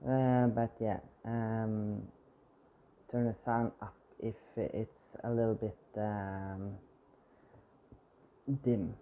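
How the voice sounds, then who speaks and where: A man's voice: lowish voice, flat delivery, very slow, long pauses, phone-quality sound. A man giving a tarot reading with a scratchy, strained voice, hesitant and full of fillers and restarts. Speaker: tarot reader.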